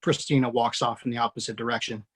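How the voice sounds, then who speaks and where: A man reading screenplay action lines aloud in a steady, matter-of-fact way. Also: medium pitch, quick pace, breathy edge. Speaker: screenplay reader.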